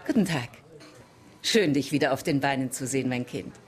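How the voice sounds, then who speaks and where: A woman's voice: very deep voice, a little fast, breathy edge. A woman playing an effusive, eccentric spiritualist in a German radio drama, airy and grandly condescending. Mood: gushing, airy.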